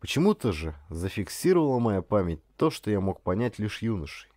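A man reading a first-person literary story aloud as an audiobook narrator, in an expressive storytelling manner. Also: on the low side, brisk.

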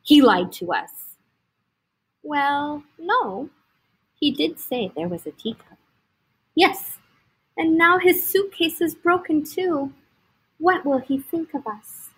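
A woman's voice: high voice, animated, takes it slowly, pauses often, pitched above the performer's own voice, heavily acted, poor audio. A woman voicing nosy, doubting animals in a children's storybook, blunt and questioning. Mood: accusing, worried.

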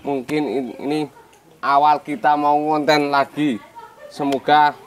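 A man's voice: a little high, unhurried, slight background noise. A young man speaks with a Javanese accent in an open village yard, his voice picked up close by a clip-on mic. He keeps it loose and friendly, tossing in jokes as he points things out. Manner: relaxed, chatty, mixing in local words, jokey asides. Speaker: goat farm vlogger. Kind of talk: casual on-camera farm tour. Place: outdoor village yard with goats. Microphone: small lapel mic.

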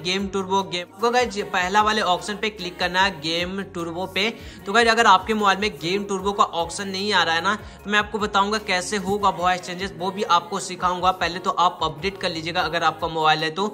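A man's voice: high voice, steady tone, a little fast, few pauses, sharp tone. A man presenting an informal tutorial to viewers, repeating himself and addressing them often. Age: 20s. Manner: repetitive, frequently addresses viewers as guys. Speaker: YouTube host, gaming tech tutorial presenter. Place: small indoor room. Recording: roomy echo, faint music behind. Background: music.

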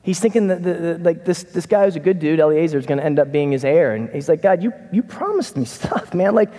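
A middle-aged man preaching a conversational sermon from a church stage, animated and casual. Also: a little high, fairly quick. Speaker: pastor. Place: church stage in a large hall. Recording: reverberant hall.

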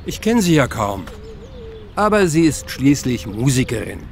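An actor playing an eccentric, evasive old groundskeeper in a children's audio drama, speaking haltingly with a creaky voice. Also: male voice, mid-range voice, big pitch swings. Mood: defensive, evasive. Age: older. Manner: halting, hesitant, slow-paced. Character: eccentric old male groundskeeper, former musician, evasive and grumbling.

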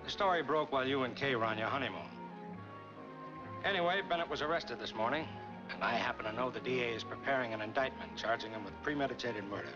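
Man acting as breezy American socialite, needling, mid-pitched, 1940s film noir, muffled vintage sound. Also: fast, close to an everyday voice. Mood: breezy, probing. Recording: dull 1940s film soundtrack, loud music.

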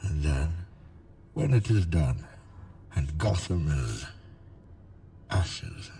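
A man playing a merciless, brutal villain in a film, theatrical and deliberate in his menace. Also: very deep voice, very animated, warm tone, exaggerated voice. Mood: ominous. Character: brutal, merciless terrorist villain promising destruction. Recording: film dialogue with faint noise.

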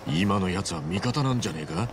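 Low-voiced streetwise game hero. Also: male voice, animated, fast, noisy background. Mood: firm, concerned. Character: earnest, streetwise, protective middle-aged hero. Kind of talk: dramatic video game cutscene acting.

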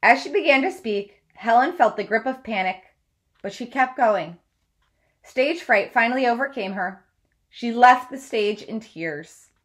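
A woman in her thirties reading a book aloud like a teacher, in a measured, deliberate way with clear phrasing. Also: fairly high pitch, expressive. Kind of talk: read-aloud of a children's biography. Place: small room at home, indoors.

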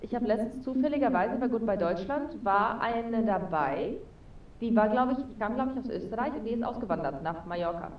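Fast-talking young woman on a video call. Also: fairly high pitch, lively intonation, echoey room, recorded from a distance, lo-fi sound. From Spain. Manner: chatty, lots of fillers. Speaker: guest. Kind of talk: casual interview chat. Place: home room with neon sign, indoors. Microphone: headset on a video call.

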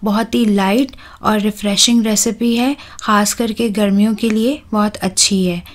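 A woman, hosting a home cooking channel and talking calmly through a recipe step by step, with soft "uh" fillers. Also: medium pitch, normal speed. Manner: calm, instructive, with soft "uh" fillers.

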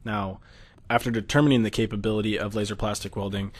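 A man's voice: lowish voice, steady tone, fast. An American man narrating a technical slideshow, explaining steadily with occasional fillers. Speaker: product presenter.

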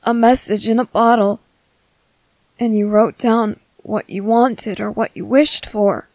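A woman playing a gentle, curious young merboy in an ASMR roleplay, hesitant and musing to himself. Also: on the high side, steady tone, unhurried, dry recording. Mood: flustered, concerned. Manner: hesitant, musing to self, repeating words. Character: gentle, curious young merboy, soft-hearted and easily flustered.

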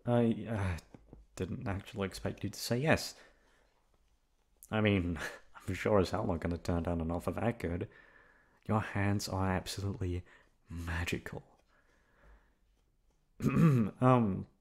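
A man acting as a college-age delinquent with a fairly low voice and a soft heart for his best friend. He talks casually and teasingly, swearing and hesitating as he goes, in an intimate ASMR roleplay. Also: vocal fry, slightly rough, exaggerated voice. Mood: flustered, eager. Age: young adult. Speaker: voice actor.

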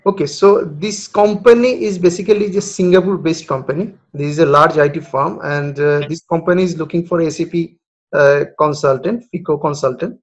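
A man's voice: on the high side, steady tone, a little fast. A man from Bangladesh, a freelance recruitment consultant, conducting a job interview over a video call with many hesitations.

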